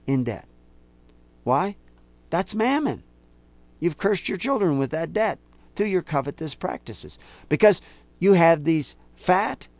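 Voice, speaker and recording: A man preaching a sermon to his listeners, accusing and emphatic, slowing down to quote scripture in a measured cadence. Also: on the high side, darkish tone, no room sound. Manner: intense, rising to emphatic outbursts; accusing, speaks directly to listeners.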